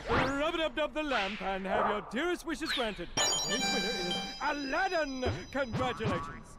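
An actor voicing a big, zany, boastful genie in a video game, with hammy game-show announcer patter. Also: male voice, very high voice, big pitch swings, normal speed, heavy background noise. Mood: showy.

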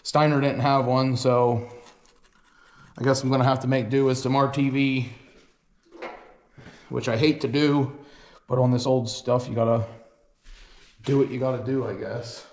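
A man's voice: mid-range voice, flat delivery, a little slow, pauses often, a little mellow. A man vlogging from his small farm, talking in a plain-spoken, folksy way and thinking aloud as he works. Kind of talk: casual vlog narration.

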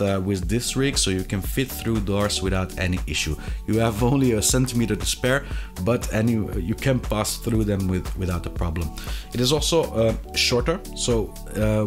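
A man in his thirties presenting a product review to camera, with a hesitant, halting delivery and frequent pauses. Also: fairly low pitch. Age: 30s. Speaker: video reviewer of sim racing gear. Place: small indoor hobby room.

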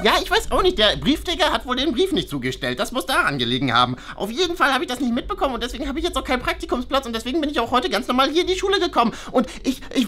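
A woman's voice: high-pitched, a bit bright. A woman playing a flustered, boastful schoolboy in a children's play story, stammering and easily panicked. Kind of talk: playful children's toy-play story acting.

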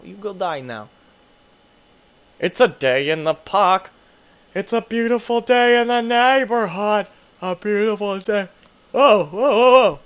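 A young man doing goofy gameplay commentary, tired, his voice deeper late at night, swinging between low mumbles and excited yelps. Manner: goofy, playful, jumps between mumbling and yelping. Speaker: gaming youtuber.

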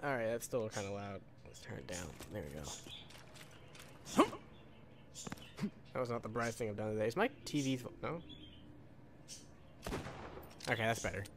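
A man's voice: on the low side, lively intonation, a little fast, pauses often, noisy background. A man giving casual live gameplay commentary, offhand and profane, musing aloud. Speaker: gamer recording a walkthrough.

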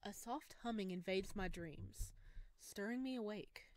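A woman streaming a visual novel, reading narration aloud and reacting in an expressive, gushing way. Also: medium pitch, measured pace. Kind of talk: game playthrough with read-aloud narration and excited reactions.

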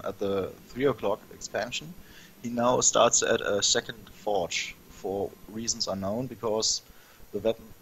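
A man's voice: lowish voice, a bit bright, slight background noise, poor audio. A man casting a strategy game match, explaining plays in casual commentary and laughing easily. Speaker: esports commentator.

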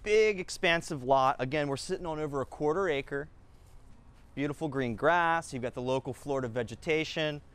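A man in his thirties giving an upbeat real estate walkthrough of a house, listing features in quick bursts as he walks through the rooms. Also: on the high side, a bit bright, smooth. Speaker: real estate agent giving a home tour. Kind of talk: enthusiastic walkthrough tour. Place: inside and around a single-story house.

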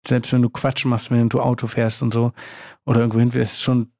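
Creaky-voiced man chatting casually. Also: mid-range voice, little pitch movement, fairly quick, a little mellow, no room sound, studio quality. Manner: slangy, colloquial. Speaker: interview guest, photographer. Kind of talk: casual chat.

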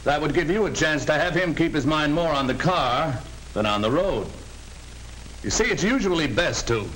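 An actor playing an earnest middle-aged American sales manager in a 1940 training film, speaking in a firm, instructive way. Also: male voice, on the high side, expressive, normal speed, fairly bright tone. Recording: noisy old 1940 film soundtrack.

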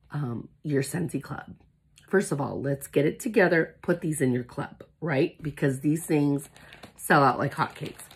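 A woman in her forties who has lost her voice, talking hoarsely with a cough in a chatty, gushing unboxing vlog, seated in a small room in front of a phone camera. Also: low-pitched. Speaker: independent product consultant. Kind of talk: casual product unboxing vlog. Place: small indoor room with shelves, seated at a table.

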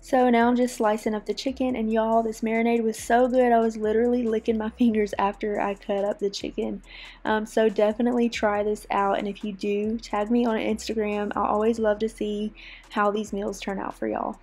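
A woman casually narrating her cooking in a relaxed, chatty voice-over. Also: on the high side, a little fast. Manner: relaxed, chatty, friendly explaining. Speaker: cooking vlogger.

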